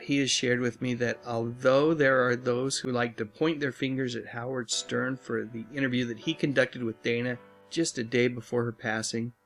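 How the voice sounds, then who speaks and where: Man, video essay narrator, medium pitch, some fry, earnest dramatic phrasing, soft music underneath. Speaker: host, pop culture commentator. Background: music bed.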